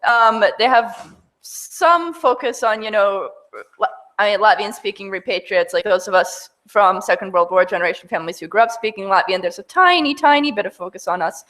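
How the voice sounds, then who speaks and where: Animated woman answering at a panel. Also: medium pitch, normal speed. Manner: animated, emphatic, frequent restarts. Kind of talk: conversational panel reply. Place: large wood-panelled conference hall. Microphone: table microphone on a panel.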